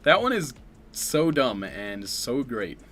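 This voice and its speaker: A man's voice: mid-range voice, big pitch swings, slow pace, a bit bright. Laid-back man cracking dry quips. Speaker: co-host of a livestream Q&A. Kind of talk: casual livestream chat.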